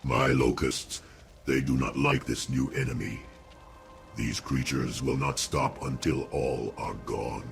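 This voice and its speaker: Sinister insect lord, extremely low pitch, slow doting menace, gravelly, undead fantasy game creature, music behind. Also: male voice, flat delivery, full character voice. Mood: ominous. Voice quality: gravelly, croaking. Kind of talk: fantasy game cutscene acting.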